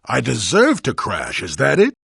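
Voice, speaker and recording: A man playing a crotchety, wry old hermit in a video game, with a gravelly, husky voice and a sardonic storyteller's manner. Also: mid-range voice, huge pitch swings, normal speed, pushed and bright, no room sound, studio quality, exaggerated voice. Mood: defensive, sardonic. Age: older. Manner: cantankerous, sardonic, rambling storyteller. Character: crotchety old male hermit, former Jedi, wry and weary. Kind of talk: video game character acting.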